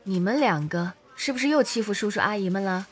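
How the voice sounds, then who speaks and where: A woman playing an earnest, gentle young woman who works at a command centre in an audio drama. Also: mid-range voice, expressive, fairly quick, breathy edge. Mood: gently scolding.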